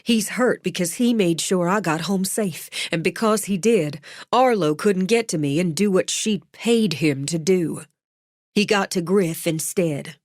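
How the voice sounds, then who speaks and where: A woman's voice: fairly low pitch, unhurried, darkish tone, dry recording, close to an everyday voice. Woman voicing a determined young woman, earnest, blunt, dry close voice.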